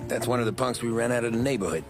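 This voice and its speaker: An actor playing a reserved, plain-spoken American gangster with a terse, low-key delivery in game acting. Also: male voice, racing, gravelly, music in the background, close to an everyday voice. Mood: uneasy.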